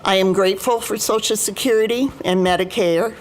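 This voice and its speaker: An American woman in her seventies giving prepared personal testimony as a speaker, in a formal, deliberate and emphatic manner. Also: mid-range voice, steady tone. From United States, Midwest. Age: 70s. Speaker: union retiree chapter president, guest speaker.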